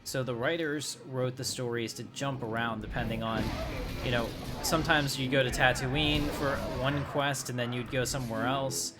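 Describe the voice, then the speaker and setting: Man, game streamer, casual rambling commentary, medium pitch, loud background game music and effects. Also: steady tone, normal speed. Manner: rambling, conversational. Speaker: game streamer, channel host. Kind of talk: casual live gameplay commentary.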